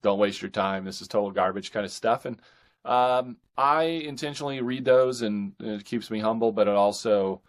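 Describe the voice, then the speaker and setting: A man's voice: on the low side, moderate pace. A man talking casually as an author guest in a podcast interview.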